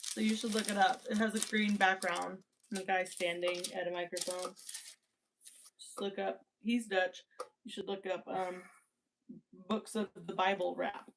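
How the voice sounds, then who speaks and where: Woman, playful and chatty co-host, reads scripture in an even, steady voice. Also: medium pitch, a little slow, vocal fry, noisy background. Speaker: livestream co-host. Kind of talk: casual chat and Bible reading aloud.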